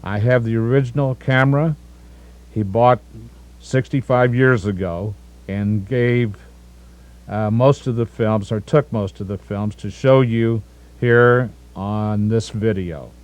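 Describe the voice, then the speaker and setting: A man's voice: fairly low pitch, fairly even intonation, slow pace, a little mellow. A man in his sixties from the United States narrates his family's history over home movies, methodically listing names and correcting himself now and then.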